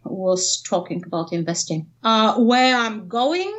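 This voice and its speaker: A woman's voice: medium pitch, animated, a little slow, fairly bright tone, dull audio. Woman, 50s, thoughtful and a bit wry, lots of ums. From Bulgaria. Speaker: guest, professor. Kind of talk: interview answers. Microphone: video call.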